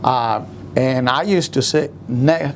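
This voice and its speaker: A man from Rico, a musician telling his story in an interview with many pauses and fillers. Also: medium pitch, a little hiss or hum. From Puerto Rico. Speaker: guest musician.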